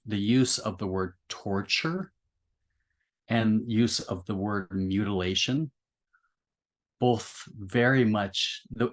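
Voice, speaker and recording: A man in a thoughtful literary discussion, speaking reflectively and feeling his way through roundabout, halting phrasing. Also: fairly low pitch. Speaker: podcast discussion participant.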